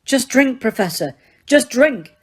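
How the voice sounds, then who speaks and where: A woman voicing a frightened teenage boy wizard, urgently and desperately coaxing someone to drink, a little higher than her own voice. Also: expressive, measured pace, husky.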